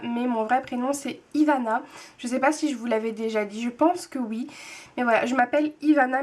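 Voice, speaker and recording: A young woman in her twenties with a high voice talks casually to her viewers. She rambles a bit, trips over her words and restarts often, filling pauses as she goes. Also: darkish tone. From France. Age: 20s. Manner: chatty, hesitant, many fillers and restarts. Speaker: booktube vlogger, nurse. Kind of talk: casual vlog answering tag questions.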